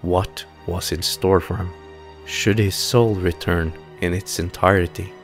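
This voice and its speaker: Casting: male storyteller, low voice, solemn and measured, for dramatic fantasy narration with soft music behind. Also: steady tone.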